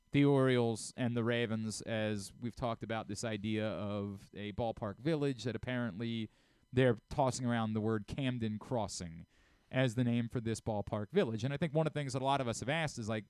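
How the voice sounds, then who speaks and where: A man hosts a sports talk show in a dry studio. His voice is clear and mid-pitched. He comments emphatically, often repeating a point to drive it home. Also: no room sound. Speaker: sports radio host. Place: radio studio.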